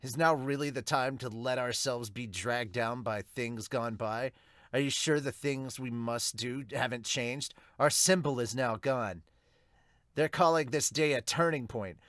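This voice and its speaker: A man's voice: mid-range voice, unhurried, a bit bright, dry recording, studio quality, close to an everyday voice. A man voicing a cocky young male superhero in an amateur manga dub, with a cheeky, casual, provoking manner. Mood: challenging.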